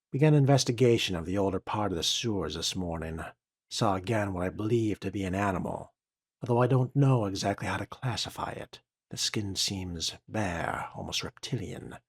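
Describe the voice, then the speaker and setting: A man narrating a role-playing game in character and reading documents aloud, with a measured, formal, dry delivery. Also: deep voice, husky, no echo.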